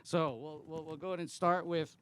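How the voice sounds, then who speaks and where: A man's voice: a little high, steady tone, brisk, noisy background. A man moderating a live panel at a coaching summit, often stumbling and repeating words as he introduces guests. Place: conference room.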